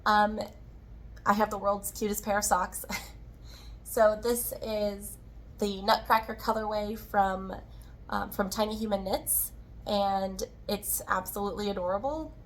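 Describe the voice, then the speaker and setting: A woman hosts a casual craft vlog in a friendly, chatty manner. Her mid-pitched voice sounds a little hoarse and worn, as if getting over being sick. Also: steady tone, measured pace. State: slightly hoarse, tired-sounding from recovering from illness. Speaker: podcast host, yarn dyer and shop owner. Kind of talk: casual crafting video chat.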